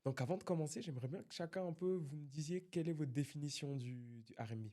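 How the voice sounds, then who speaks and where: A man's voice: on the high side, quick pace, dark tone. A man hosting a casual podcast who hesitates often with fillers while introducing his guests. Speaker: podcast host.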